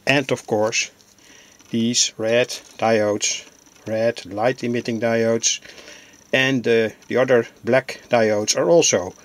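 A man giving an informal hobbyist demonstration, narrating in halting, deliberate phrases. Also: medium pitch, fairly even intonation. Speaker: electronics hobbyist presenter.

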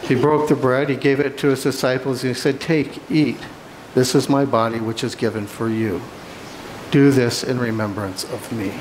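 A man leads a communion prayer at a steady, middle pitch. He reads in a measured, solemn way, with a faint hiss behind him. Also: rough recording. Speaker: pastor. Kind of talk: reading a communion liturgy. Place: church sanctuary.

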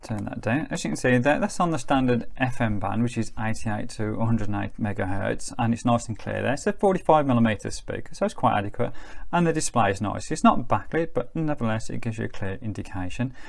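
A man's voice: medium pitch, expressive, fast, creaky, few pauses. A middle-aged man giving a casual product review in a chatty, matter-of-fact way. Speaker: host reviewing gadgets.

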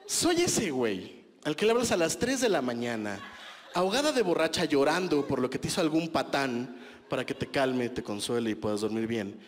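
A man's voice: mid-range voice, sing-song, moderate pace, long reverb tail, noisy background. A Mexican man in his thirties doing a stand-up comedy set on a small club stage, speaking into a handheld mic in a conversational, self-mocking way with crude slang. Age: 30s.

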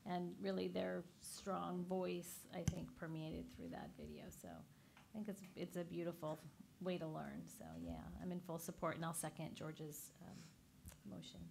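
A woman trustee with a gravelly, somewhat low voice speaks earnestly at a meeting. Faint noise sits behind her voice. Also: lively intonation, a little slow, a little mellow. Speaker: school board trustee. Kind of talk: board meeting remarks.

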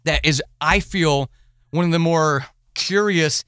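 A middle-aged man speaks as a podcast host in a conversational interview. His voice sits a little high for a man and the sound is dry. He phrases things in a wordy, hesitant, circling way. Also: slow pace, fairly bright tone, clean tone, no room sound.

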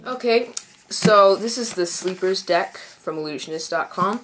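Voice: medium pitch, a little slow, music in the background, rough recording. Congested young card reviewer, casual and rambling. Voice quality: congested, stuffy nose. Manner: rambling, self-correcting, hedging. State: mild head cold. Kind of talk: casual unscripted product review. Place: indoors at a card table.

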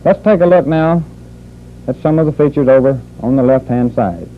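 A man working as a military instructor, narrating a training film in a formal, deliberate, measured manner. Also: medium pitch. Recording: muffled phone-like old training film soundtrack.

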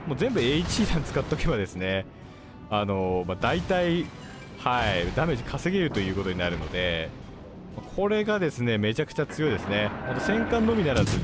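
Male game commentator, mid-pitched and very varied in intonation, polite explainer, game music and artillery behind. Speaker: gaming video host. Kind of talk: live gameplay commentary. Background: game music, gunfire and artillery.

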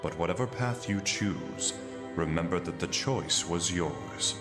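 An actor playing a calm, mysterious masked man, speaking solemnly and formally in a video game cutscene. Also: deep voice, unhurried. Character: mysterious calm masked male guide addressing chosen youths. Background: game music.

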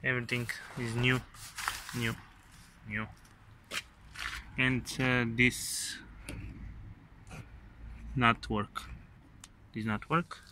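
A man with a Bulgarian accent, speaking halting, broken English while showing off a car on a handheld phone. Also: medium pitch, little pitch movement, pushed and bright, a little hiss or hum. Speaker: seller showing a classic car. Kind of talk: casual walkaround sales video. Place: outdoors by a car and inside it. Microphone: phone camera held by the speaker.

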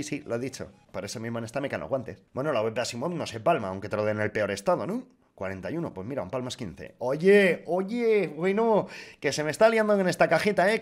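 A man in his thirties with a Castilian Spanish accent gives casual, expressive gaming commentary full of colloquial swearing. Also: a little high. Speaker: YouTuber streaming case openings. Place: small home studio room with foam panels. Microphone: desk microphone on boom arm.

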